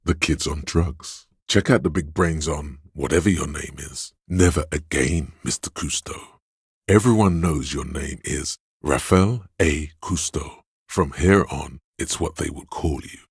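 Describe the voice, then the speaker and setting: A man's voice: a little slow, no room sound, clean studio sound, heavily acted. A man voicing a video game character, a sleazy, hedonistic inner voice that craves drugs and thrills, insinuating and savoring its words theatrically. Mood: seedy, coaxing, then sly, indulgent. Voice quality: very deep, gravelly, husky.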